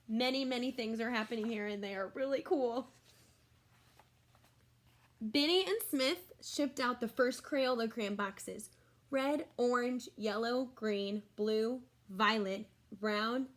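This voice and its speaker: A woman reading a children's book aloud like a teacher, expressively, with exclamations and simple explanatory asides for young listeners. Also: a little high.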